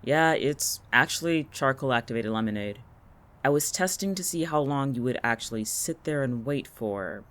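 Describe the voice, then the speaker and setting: A man's voice: mid-range voice, lively intonation, normal speed, fairly bright tone, natural voice. A man playing a smug, callous boss in an audio drama, teasing in a sardonic, nonchalant way. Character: smug, callous, teasing male boss who keeps monsters.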